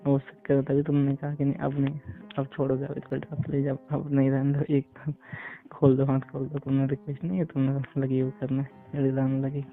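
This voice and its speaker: A man chatting casually and intimately on a phone call, halting as he searches for words. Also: mid-range voice, completely flat delivery. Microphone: phone call recording. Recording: tinny phone line, music behind.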